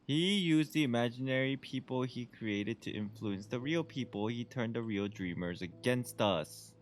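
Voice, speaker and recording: A man's voice: medium pitch, normal speed, slight background noise. A young man giving casual, slangy, reactive commentary as a video game streamer.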